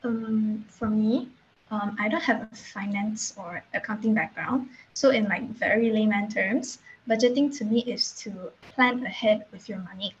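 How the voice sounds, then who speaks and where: A woman's voice: medium pitch, normal speed, breathy edge. A woman in her twenties from Malaysia, a student guest speaking hesitantly with many fillers. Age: 20s. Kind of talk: casual podcast chat. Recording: dull slightly noisy recording.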